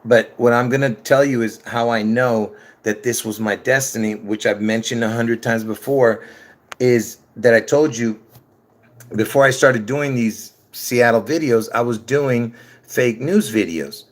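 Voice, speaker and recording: A man's voice: mid-range voice, measured pace. Rambling man talking to his viewers. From Lebanon. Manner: rambling, restarts often, addresses viewers directly. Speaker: conspiracy video creator. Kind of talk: rambling casual monologue to camera.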